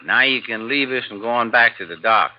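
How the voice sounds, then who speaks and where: A man's voice: mid-range voice, fairly quick, lightly acted. A man playing a crotchety, stubborn old fishing guide in 1950s radio drama style. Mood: grumbling, impatient. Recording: muffled, slightly noisy old radio recording.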